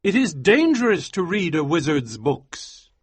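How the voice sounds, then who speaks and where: A man voicing an anxious, obsessive male scientist who is devoted to his wife, speaking slowly and intensely. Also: on the high side, sing-song, slightly rough, no echo, pitched above the performer's own voice, exaggerated voice. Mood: earnest tender.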